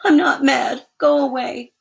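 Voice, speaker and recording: A woman's voice: high voice, fairly even intonation, measured pace, gravelly, voice pushed up. A woman voicing a sad but brave young boy in a storybook, plaintive and earnest. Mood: glum, upset.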